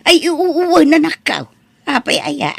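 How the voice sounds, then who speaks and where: A woman playing an ailing, vain elderly mother in a comedy audio drama, with an Ilocano accent and a plaintive, drawn-out delivery. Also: high-pitched, sing-song, creaky, no echo, exaggerated voice. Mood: vain, coy.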